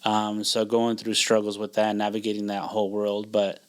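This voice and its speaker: Relaxed, reflective man in his forties. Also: on the low side, moderate pace, smooth. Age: 40s. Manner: relaxed, reflective, many fillers and restarts. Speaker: chiropractor, podcast guest. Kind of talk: casual interview conversation.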